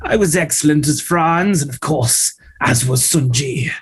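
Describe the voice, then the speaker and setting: A man's voice: fairly high pitch, expressive, unhurried, slightly rough, heavily acted. An actor playing a vain, flamboyant male ballet dancer telling a gossipy story at a script table read.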